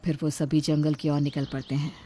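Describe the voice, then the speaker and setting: A woman's voice: deep voice, little pitch movement, a little fast, mellow, slightly rough, soft background music. A woman reading scripted narration for a cartoon horror tale.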